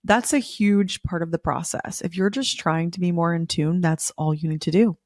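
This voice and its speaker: Slightly hoarse woman, effusive podcast host. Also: fairly low pitch, moderate pace, a little mellow, no echo, studio quality. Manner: effusive, repeats words for emphasis. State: slightly hoarse, losing her voice. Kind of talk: casual spiritual podcast monologue.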